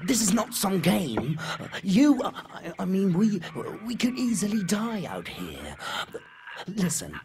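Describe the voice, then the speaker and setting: An actor voicing a paranoid old man in an animated film, stammering and urgent as he guides a youngster through danger. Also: high voice, slow, soft background music, full character voice. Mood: stern, earnest. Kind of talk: animated short film voice acting.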